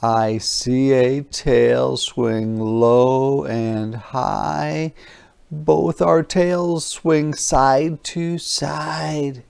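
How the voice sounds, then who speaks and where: A narrator reading a children's picture book aloud in an expressive, deliberate, dramatic way. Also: medium pitch, gravelly, clean tone.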